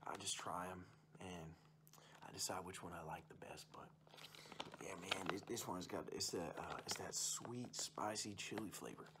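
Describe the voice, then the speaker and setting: A man's voice: sits low, normal speed, heavy background noise, close to an everyday voice. A young man playing a boastful, folksy uncle who rambles about hunting and fishing in an ASMR roleplay. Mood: chummy, easygoing. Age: young adult. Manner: folksy, rambling, repeats stock phrases. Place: small room indoors.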